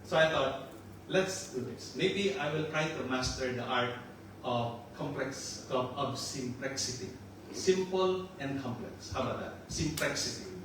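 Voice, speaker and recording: An older man with a Filipino accent mixed with some Australian preaches in a loose, joking way. He is picked up from well off the mic, so the voice rings around a very reverberant room. A steady hiss of noise sits under it. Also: mid-range voice, measured pace, few pauses, recorded from a distance. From Philippines. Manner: joking and chatty, laughs often. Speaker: pastor. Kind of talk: casual sermon.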